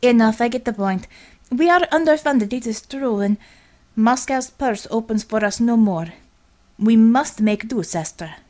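A woman's voice: a little high, unhurried, a little mellow, lightly acted. Fiery Russian-accented woman commander for a story, acted by a woman reader. Blunt, clipped, emphatic. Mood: firm, resigned.

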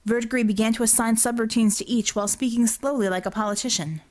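A woman's voice: a little high, quick pace, a little mellow. A woman reading a scripted story as an audiobook narrator.

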